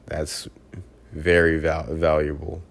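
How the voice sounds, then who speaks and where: Man, very low voice, flat delivery, congested, earnest, casual vlog talk. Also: slow, gravelly. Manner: earnest, often restarts words mid-syllable. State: congested from a cold. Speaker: vlogger. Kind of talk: casual personal video reflection.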